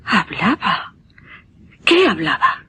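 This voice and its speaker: A puzzled lady interviewer in radio horror, accent from Spain, lively inflection, formal but astonished questioning. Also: on the low side, slow, slightly rough, heavily acted. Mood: astonished, confused. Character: puzzled, uneasy young lady questioning a witness. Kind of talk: radio horror drama acting.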